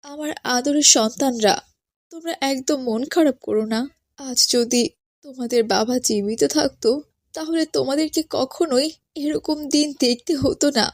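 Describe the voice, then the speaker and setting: Woman acting a poor widowed cartoon mother, highish voice, tender, humble, earnest, close dry sound. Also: moderate pace, no echo. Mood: tender, consoling. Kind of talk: Islamic cartoon dialogue.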